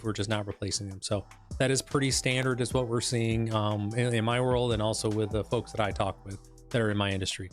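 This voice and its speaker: A man from the United States, a business coach, talking through a wage report live in a hoarse voice that he is losing, rambling with fillers and restarts. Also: mid-range voice. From West Michigan, United States.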